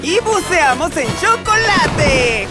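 A woman's voice: very high voice, slow, full character voice. A woman voicing a curious, upbeat boyish adventurer in a children's educational cartoon dub. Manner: excited, exclamatory, inquisitive. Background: music.

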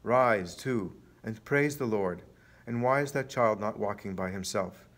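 A man's voice: lowish voice, measured pace, a bit bright. A man voicing Jesus, a solemn commanding healer. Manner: solemn, declamatory. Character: Jesus, commanding authoritative healer, kindly yet stern man.

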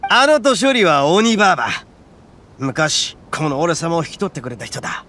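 A swaggering horned oni gang boss. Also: male voice, fairly high pitch, very animated, normal speed, pushed and bright. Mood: fond. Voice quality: rough, swaggering, calls himself grandly. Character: brash boastful horned oni gang boss, male adult. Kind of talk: Japanese game voice acting. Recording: game audio with faint music underneath.

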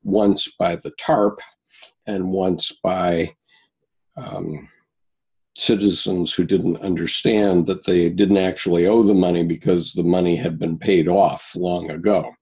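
A man in his seventies, a guest recounting personal experiences in a halting way, with many pauses and restarts. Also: sits low, little pitch movement, mellow, husky. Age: 70s.

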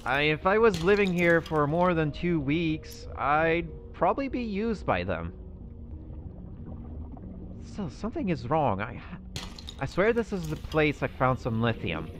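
A man's voice: a little high, very animated, measured pace, over music. A young man roleplaying through a survival game, musing aloud to himself with nervous outbursts. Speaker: gaming YouTuber roleplaying a character. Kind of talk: in-character gameplay commentary.

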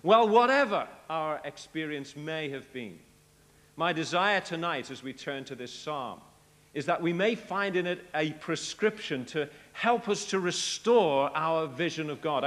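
A middle-aged man with a British accent preaching a sermon at a convention, animated, slipping into acted voices when he tells stories. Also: fairly high pitch, unhurried, big-room sound. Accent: British English. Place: large convention tent.

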